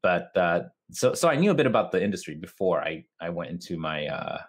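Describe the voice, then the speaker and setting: Male interview guest, deep register, North American accent, conversational explainer. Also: sing-song, fairly quick, husky. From Japan. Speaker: interview guest, video marketer. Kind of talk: casual interview answers.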